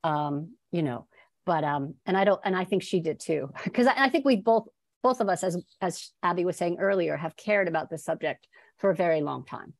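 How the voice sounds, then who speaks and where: A middle-aged woman talks as a documentary-maker guest in an interview. Her voice is on the low side and the sound is dry, with an informal, slightly halting way of explaining things. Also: expressive, no echo. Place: plain room at home, indoors. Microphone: wired earbuds on video call.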